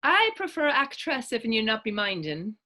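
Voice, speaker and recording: A woman's voice: on the high side, very animated, moderate pace, bright, forceful tone. A woman playing a tough, proud teenage Irish orphan turned actress in a readers theatre drama, telling her story in lilting old-fashioned idiom with an Irish accent. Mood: wry, guarded. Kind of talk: stage readers theatre acting in dialect.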